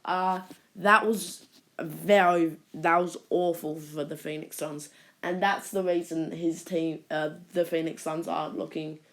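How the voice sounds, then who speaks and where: A woman hosting her own basketball channel, talking casually through a ranking while a sore throat makes her voice croaky. She hesitates often, restarting sentences and filling gaps with "uh". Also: deep voice, fairly even intonation, unhurried. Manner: hesitant, many restarts and fillers. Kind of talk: casual ranking commentary to camera.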